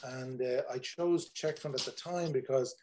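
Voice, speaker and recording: A man's voice: mid-range voice, moderate pace, a little mellow, a little hiss or hum. A man in his forties with an Irish accent, a tour operator talking casually on a video call, rambling with many fillers and restarts. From Ireland. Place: home room, indoors.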